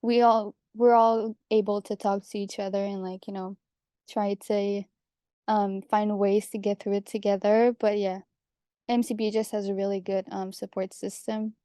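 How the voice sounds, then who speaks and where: Mellow young Québécoise woman, even-toned. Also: medium pitch, normal speed, clear, resonant tone, no room sound, clean studio sound. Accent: Quebec French. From Quebec, Canada. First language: French. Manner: chatty, relaxed, lots of "like". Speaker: pharmacy student panelist. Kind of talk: casual panel talk.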